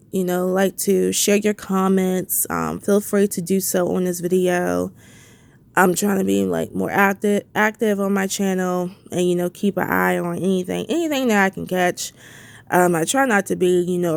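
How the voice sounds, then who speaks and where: Young female vlogger with a head cold, stuffy and gravelly, heated casual rant, low-ish voice. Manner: heated, repetitive, rhetorical questions. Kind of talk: casual ranting commentary.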